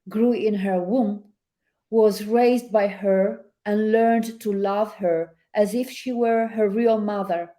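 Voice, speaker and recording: Italian-accented female author, reflective and halting; guest reading her own prose remotely. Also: medium pitch, mellow. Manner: thoughtful, hesitant with many fillers. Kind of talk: interview and reading from her novel.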